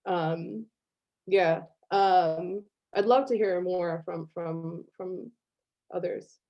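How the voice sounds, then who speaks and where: Woman, video call, animated and laughing, mellow tone, dull audio. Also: lowish voice, drawn-out words. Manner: animated, laughs often, many fillers like "like". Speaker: guest artist. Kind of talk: casual online conversation.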